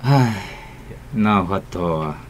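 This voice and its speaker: Weary, sighing village father, Taiwanese accent, slow, faint ambience behind, radio play. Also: lowish voice, slight background noise. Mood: resigned. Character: resigned, sighing country father, plain and weary. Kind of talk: radio drama acting.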